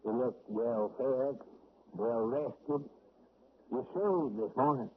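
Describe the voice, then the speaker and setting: An actor playing a shrewd, stern small-town sheriff of forty years' service, who questions in a folksy drawl in a 1970s radio drama. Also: male voice, mid-range voice, takes it slowly, close to an everyday voice. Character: older rural male sheriff, shrewd and stern. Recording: tinny lo-fi old radio broadcast with faint hiss.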